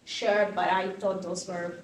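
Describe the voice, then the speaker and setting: A woman from Croatia in her thirties, a fashion activist answering interview questions in an animated, thinking-aloud way with many fillers. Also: lowish voice, a little slow. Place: conference venue. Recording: distant mic in an echoey room with faint noise.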